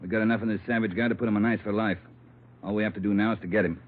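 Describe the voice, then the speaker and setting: A commanding police chief acted by a man with a fairly low voice and a brusque, authoritative manner. The style is 1940s radio drama, with the narrow sound of an old broadcast and faint noise. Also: steady tone, very fast, close to an everyday voice. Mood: brisk, commanding. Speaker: police skipper. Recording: muffled, lightly noisy 1940s radio recording.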